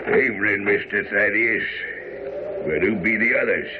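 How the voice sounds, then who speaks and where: An actor playing a gruff, stubborn servant guarding a door, with rustic speech, in a radio drama. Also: male voice, on the low side, normal speed, close to an everyday voice. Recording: muffled, faintly noisy old radio broadcast.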